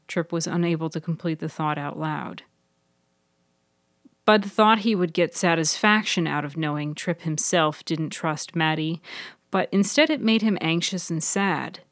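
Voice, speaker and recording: A low-voiced woman narrates scripted fiction for a podcast. Her voice is recorded close and dry, with no room around it. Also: a little slow, dry recording.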